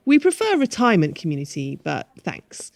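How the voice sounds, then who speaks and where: A woman's voice: lowish voice, huge pitch swings, normal speed, vocal fry, darkish tone, a little hiss or hum, exaggerated voice. A woman playing a harried but polite manager of a retirement home in a radio play.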